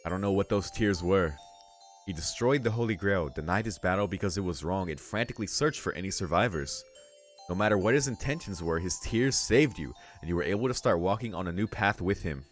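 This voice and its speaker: A man reading a visual novel aloud as a let's play host, in measured narration with casual, slangy asides. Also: deep voice. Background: music.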